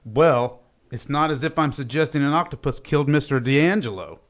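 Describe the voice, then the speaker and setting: A man's voice: a little high, normal speed, close to an everyday voice. An actor playing a male medical examiner in an audio drama, precise and understated. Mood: clinical, confiding.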